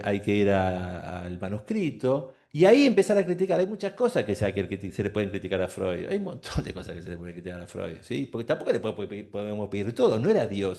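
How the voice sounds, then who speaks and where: A man's voice: medium pitch, vocal fry, warm tone. A man speaking on a panel, explaining emphatically and rising into indignant bursts. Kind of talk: lecture talk on a panel.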